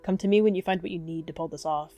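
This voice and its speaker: A woman performs a young apprentice she-cat living in dread. Her voice is on the low side, uneasy and fragile, in an animal roleplay drama. Soft music runs behind her. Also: fast, lightly acted. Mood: guarded unease. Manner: hushed, shaky, anxious. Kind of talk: fan roleplay audio drama.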